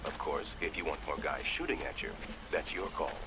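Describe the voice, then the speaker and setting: A man playing a brisk, dry mission handler giving instructions in a video game. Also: very deep voice, very noisy. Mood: dry, instructive. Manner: businesslike, dry, urgent instructions.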